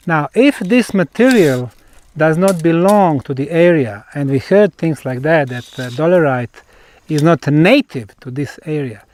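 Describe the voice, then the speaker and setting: A man with a Bosnian accent explains a site on location as a researcher, in deliberate, emphatic short phrases with pauses. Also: on the high side, no echo. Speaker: researcher presenting a field investigation.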